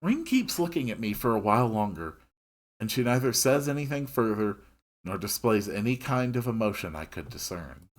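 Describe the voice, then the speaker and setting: A man reading a story aloud in an expressive narrating style. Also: on the low side, moderate pace.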